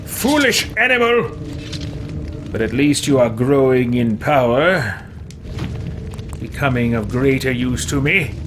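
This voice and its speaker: A man's voice: medium pitch, sing-song, takes it slowly, pauses often, pitched below the performer's own voice. A man voicing a haughty, paranoid old evil wizard in a pompous, theatrical manner for video game roleplay. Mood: imperious. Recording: music behind the voice.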